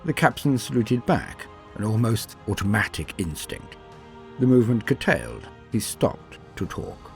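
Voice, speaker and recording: A man narrating scripted stories in a dramatic, solemn and measured way, with emphatic swells and falling sentence endings. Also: on the low side, expressive, creaky. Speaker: narrator and host of a fan fiction lore channel. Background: music.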